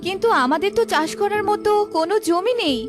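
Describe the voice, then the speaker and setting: A woman voicing a poor fox wife in a cartoon, anxious but caring, with a homely, expressive manner. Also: very high-pitched, fast, over music. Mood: distressed. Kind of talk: cartoon character voice acting.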